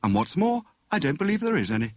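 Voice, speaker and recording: Man voicing a witty young wife, pitched up. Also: sing-song, no room sound. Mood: withering. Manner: withering, coaxing, brisk. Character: witty, sharp-tongued young wife, eager amateur detective.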